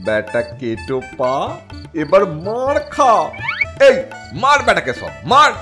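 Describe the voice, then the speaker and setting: Swooping, crafty cartoon villager. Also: male voice, high-pitched, wildly swooping pitch, takes it slowly, loud music behind the voice, full character voice. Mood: triumphant. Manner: theatrical, wheedling. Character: crafty, fearful village husband, wheedling the bear. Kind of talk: cartoon fairy-tale acting.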